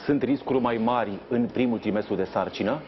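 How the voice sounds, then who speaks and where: A man in his thirties presenting a live morning news show in a studio, in a polished, formal announcer manner. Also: mid-range voice. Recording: dull, slightly noisy broadcast audio.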